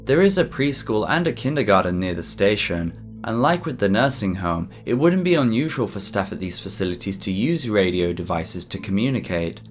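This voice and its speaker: A man reading a scripted mystery documentary narration for an online video in a measured, explanatory way. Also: lowish voice, very animated. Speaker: YouTube narrator. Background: background music.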